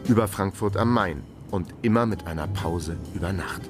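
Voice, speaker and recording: A man's voice: low-pitched, moderate pace, over music, lightly acted. An actor playing a world-weary investigator, narrating his case drily in a radio crime drama. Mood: dry, factual. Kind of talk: scripted first-person radio drama narration.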